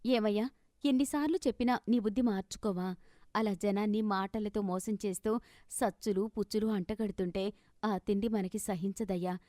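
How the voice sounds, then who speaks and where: A mid-pitched, dry-recorded voice for a principled wife played by a female narrator. She is an exasperated cartoon character who nags and pleads with reproach. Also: fairly even intonation, moderate pace, warm tone, no room sound, natural voice. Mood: scolding, reproachful. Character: exasperated, principled wife scolding her greedy husband. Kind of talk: cartoon moral story character acting.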